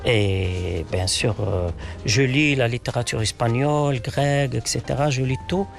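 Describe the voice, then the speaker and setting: A man's voice: medium pitch, a little slow, creaky, soft background music. A man from Tangier, Morocco, whose first language is Arabic, answering interview questions in French with many fillers and restarts. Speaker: interview guest, writer.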